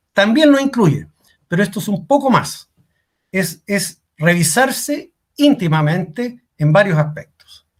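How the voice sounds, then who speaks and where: A middle-aged man giving a career workshop talk as a coach, asking rhetorical questions and repeating key lines for emphasis. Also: fairly high pitch. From Chile.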